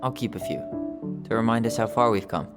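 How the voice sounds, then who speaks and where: A man's voice: fairly low pitch, fairly quick, loud music behind the voice, lightly acted. An actor playing a wry, guarded but sincere young man in a video game, with an American accent. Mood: reflective. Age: young adult. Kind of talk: naturalistic game dialogue.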